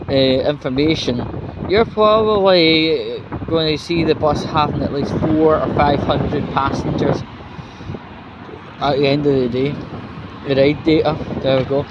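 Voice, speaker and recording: A Scottish man rambling casually as he vlogs outdoors, sweary and irreverent, with halting pauses. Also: a little high, steady tone, muffled recording. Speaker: vlogger. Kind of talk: rambling casual walking vlog, chatty. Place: outdoors on a city street. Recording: noisy outdoor street with traffic.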